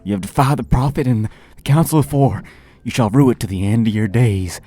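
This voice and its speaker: A man's voice: sits low, fairly quick, warm tone, voice pushed up, natural voice. A man voicing a pompous, self-righteous young zealot who talks in a preachy way. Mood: enraged.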